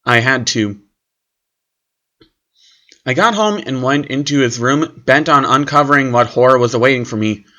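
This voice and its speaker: A man's voice: medium pitch, normal speed, pauses often, bright, forceful tone. A man reading a scripted first-person horror story as its narrator. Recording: clearly noisy recording with clicks.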